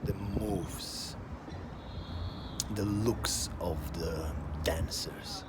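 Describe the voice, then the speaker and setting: A man telling a personal story as a deliberate, dramatic monologue in short emphatic phrases, with a scornful edge. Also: low-pitched. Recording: loud outdoor traffic-like ambient noise.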